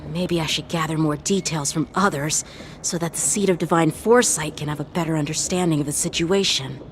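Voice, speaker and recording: A woman voicing an earnest, polite young male lieutenant in a game, speaking in a formal, measured way. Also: deep voice, a little hiss or hum, lightly acted. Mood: thoughtful. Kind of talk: scripted game voice acting.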